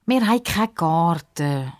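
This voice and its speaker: A woman voicing an eager, impatient, excitable young girl in a children's story. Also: on the low side. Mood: disappointed. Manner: excitable, quick to interrupt.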